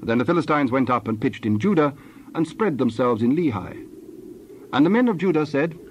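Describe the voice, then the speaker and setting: A man's voice: mid-range voice, lively intonation, normal speed, highs cut off, a little hiss or hum. A man narrating scripture as the storyteller of a dramatized Bible reading.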